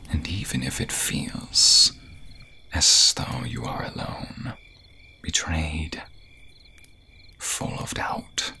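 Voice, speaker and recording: A man voicing a gentle, grateful snake-man guardian in an ASMR roleplay, speaking in a breathy whisper with formal, archaic phrasing. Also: extremely low pitch, very slow, pauses often, heavily acted. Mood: reassuring.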